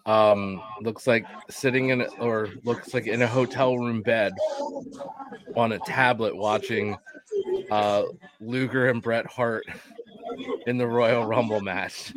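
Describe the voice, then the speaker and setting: Loud, blunt man in casual chat. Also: medium pitch, steady tone, slow, rough recording. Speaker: co-host.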